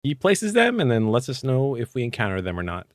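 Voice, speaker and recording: A man co-hosting a tabletop gaming podcast, raspy and hoarse from illness, talking easily with many fillers. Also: mid-range voice, huge pitch swings, brisk, no room sound, studio quality. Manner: easygoing, with frequent fillers. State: hoarse, still coughing after illness. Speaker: podcast co-host. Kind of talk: casual chat about tabletop game rules.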